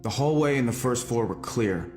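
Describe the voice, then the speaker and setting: An actor playing a hardened, defiant soldier under interrogation in a video game, giving terse, matter-of-fact accounts. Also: male voice, mid-range voice, lively intonation, moderate pace, over music, close to an everyday voice. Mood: terse, grim. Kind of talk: dramatic cutscene acting, recounting missions.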